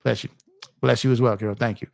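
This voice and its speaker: A man hosting a casual basketball livestream, a little hoarse from losing his voice, chatty and rambling as he talks to his viewers. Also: mid-range voice, fairly even intonation, fairly quick, dry recording, clean studio sound. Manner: chatty, rambling with restarts, speaks to chat viewers. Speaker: YouTube basketball streamer.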